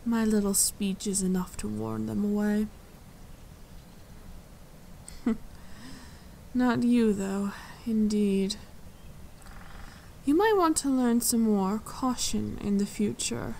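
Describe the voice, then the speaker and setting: Casting: woman as a tall, protective fae noble for ASMR fantasy roleplay; unhurried, gravelly-edged, composed and wryly superior, birdsong behind. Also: medium pitch, slight background noise. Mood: amused caution. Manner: measured, composed, gently condescending to the listener. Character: tall, regal fae court leader, dryly amused, protective. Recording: forest ambience with birdsong. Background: birdsong and forest sounds.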